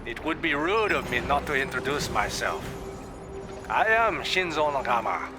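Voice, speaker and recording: A man playing a cold, menacing imperial prince who taunts his foe in slow, measured words. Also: mid-range voice, sing-song, close to an everyday voice. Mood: smug. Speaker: enemy commander taunting the player. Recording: game cutscene over loud music.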